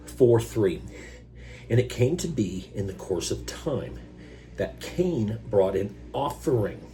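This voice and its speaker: Low slow guy reading the bible w casual jokey asides, allergies, throat clearing, noisy humming recording. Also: darkish tone. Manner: casual, self-deprecating asides between verses. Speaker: host reading scripture. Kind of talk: Bible reading with informal commentary. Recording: noisy recording with electrical hum.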